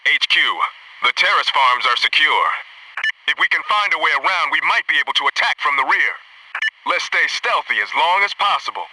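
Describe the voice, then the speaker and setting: An actor voicing an alert, disciplined Navy SEAL teammate in a military shooter game, reporting in a clipped, businesslike way. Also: male voice, on the high side, recorded from a distance, background noise, close to an everyday voice. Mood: alert, tactical.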